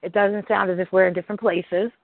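A husky-voiced host in a casual call chat, talking loosely with fillers and swearing, heard over call audio. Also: mid-range voice, level tone, fast. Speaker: podcast host. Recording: tinny phone-like call audio, dry.

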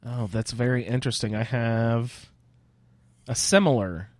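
Mid-pitched man hosting a casual roundtable. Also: measured pace, dry recording. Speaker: podcast host leading roundtable. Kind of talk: casual chat with questions to panelists.

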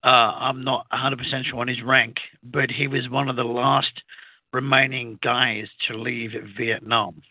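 A man's voice: mid-range voice, little pitch movement. Man on a tinny phone-like line. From outside the US. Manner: halting, many fillers and restarts. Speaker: radio co-host. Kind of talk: casual radio talk. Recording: phone-like narrow sound, dry.

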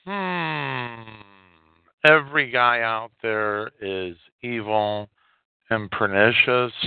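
A man hosting a solo podcast, giving unscripted political commentary in a halting way and repeating phrases for emphasis. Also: medium pitch, gravelly, clean tone, dry recording. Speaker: podcast host. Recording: muffled, tinny phone-like sound.

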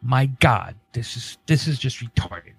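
A man's voice: mid-range voice, lively intonation, unhurried, vocal fry, husky. A man co-hosting a comedy podcast, reading odd news with sweary, teasing, casual banter.

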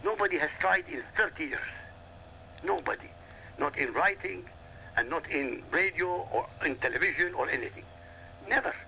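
A man from Iraq whose first language is Arabic, lecturing forcefully and emphatically in a heated radio talk, repeating points for weight. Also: on the high side, animated, normal speed, gravelly, a little hiss or hum, poor audio. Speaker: guest commentator.

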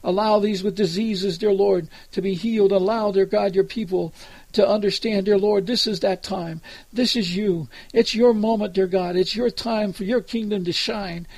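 A man's voice: high-pitched, steady tone, normal speed, a little mellow, no echo. An American man praying aloud as a preacher, earnest and pleading, improvising as he goes and repeatedly addressing God. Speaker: preacher leading prayer. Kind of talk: extemporaneous spoken prayer.

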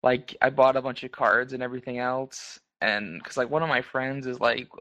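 A man on a podcast from a room at home, deliberately keeping his voice down while he chats. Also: medium pitch, level tone, dry recording. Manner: talking quietly to keep his voice down. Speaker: podcast co-host. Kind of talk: casual chat.

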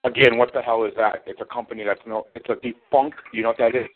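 A man's voice: mid-range voice, fairly even intonation, fast, a little hiss or hum. A man cutting a sarcastic, mocking wrestling promo, taunting his rival as champion. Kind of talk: trash-talking wrestling promo over a call. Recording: tinny phone line.

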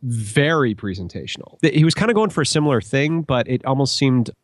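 A man talks through his opinions on a podcast in a casual, analytical way. His mid-pitched voice moves up and down a lot. The recording is dry and close. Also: animated, fairly quick, dry recording. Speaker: podcast panelist.

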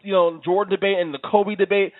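A man's voice: sits high, little pitch movement, fast talker, no echo. A man hosting a casual sports talk show, stammering and laid-back. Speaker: podcast host. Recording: phone-like lo-fi audio.

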